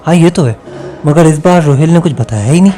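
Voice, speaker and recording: A man's voice: fairly high pitch, lively intonation, brisk, mellow, faint music behind, natural voice. A man playing an easygoing young student in an audio drama. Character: easygoing young male student who loves his sleep.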